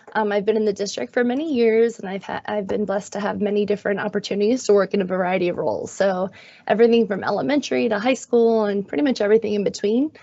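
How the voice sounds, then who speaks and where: A middle-aged woman with a raspy, hoarse voice from being sick, presenting in a friendly, self-deprecating way on a video call. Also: mid-range voice, a little mellow, no echo. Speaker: guest presenter, magnet schools coordinator. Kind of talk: webinar presentation. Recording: dull, dry video-call audio.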